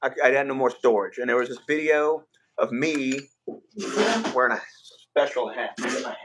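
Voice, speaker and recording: A young man hosting a livestream, telling stories in a relaxed, rambling way with filler words, his voice a bit rough. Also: mid-range voice, normal speed, background noise. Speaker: livestream host. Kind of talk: casual livestream chat.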